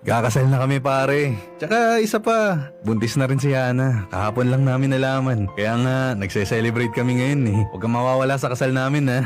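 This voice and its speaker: A man's voice: medium pitch, fairly quick, over music, natural voice. A man playing a chummy, smug young fiancé. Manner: chummy, self-assured. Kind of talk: radio drama acting.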